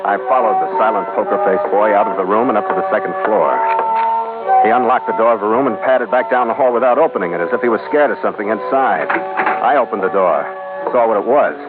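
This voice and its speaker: Male actor as hard-boiled skipper, wry, 1940s radio drama, narrow tinny band, loud music. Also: fairly low pitch, quick pace, lightly acted. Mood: wry narrating. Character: tough, wry sea captain hero and narrator. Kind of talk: 1940s radio adventure acting and narration.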